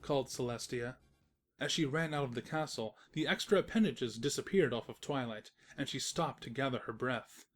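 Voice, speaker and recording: Very low-voiced woman narrating a story. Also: normal speed. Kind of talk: scripted comedic story narration.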